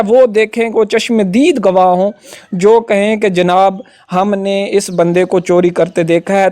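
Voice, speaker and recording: A man's voice: sits high, a little mellow. A man with a Pakistani accent giving an informal explanatory law lecture, often adding little tags to check that listeners follow.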